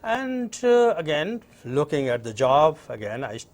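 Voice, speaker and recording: A middle-aged man from Nepal with a Nepali accent, answering interview questions conversationally in a halting way and repeating phrases. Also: fairly high pitch, very animated. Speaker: interview guest, university professor. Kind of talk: conversational interview, recounting own background.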